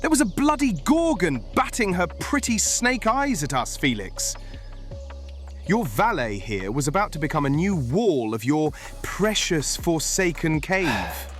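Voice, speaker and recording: A man's voice: on the high side, big pitch swings, measured pace, fairly bright tone, soft background music. A man playing a roguish, theatrical treasure hunter in a fantasy audio roleplay, cheeky and dramatic in his delivery. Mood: hurt, indignant. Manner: cheeky, dramatic, emotionally swinging.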